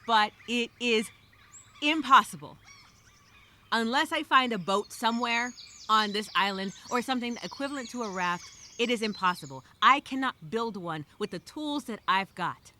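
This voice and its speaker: A frightened castaway woman, as acted by a storyteller rambles through a journal monologue with theatrical exasperation. Her voice is highish and very bright, swinging widely in pitch as she stresses her words. Birdsong and clear background noise sit behind her. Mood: frustrated, despairing. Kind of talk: dramatic first-person journal monologue.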